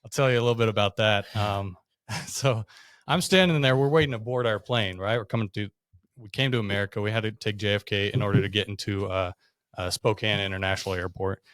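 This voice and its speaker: A man's voice: fairly low pitch, fairly quick, a bit bright, no echo, clean studio sound. A young man from Russia, a podcast guest, telling his story in a chatty way with lots of "like" and "you know.". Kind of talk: casual storytelling interview.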